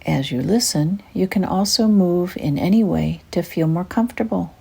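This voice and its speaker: An older woman with a soft, breathy, husky voice, gently and soothingly narrating a guided meditation in an unhurried way. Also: fairly low pitch.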